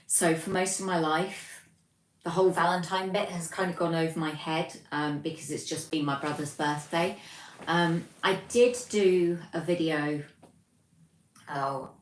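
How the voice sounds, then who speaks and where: A young British woman hosting a livestream from her flat, reading out chat messages and rambling casually with lots of ums. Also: sits low, measured pace. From Britain. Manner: chatty, rambling, many ums. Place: home, flat. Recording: echoey room, distant mic, faint noise.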